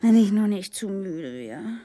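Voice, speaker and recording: An actress in a radio play playing a weary, callous housewife, theatrical and husky, drifting into breathy whispers. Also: female voice, mid-range voice, animated, measured pace, warm tone, close to an everyday voice. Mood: weary, intimate. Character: weary, callous East Berlin housewife and mother, darkly grotesque. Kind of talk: German radio play acting, grotesque.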